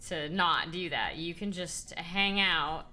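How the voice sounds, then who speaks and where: A hoarse woman streaming herself painting miniatures, chatting casually with many ums and reading chat aloud. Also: on the low side, takes it slowly, sharp tone, soft background music. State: hoarse, voice partly lost.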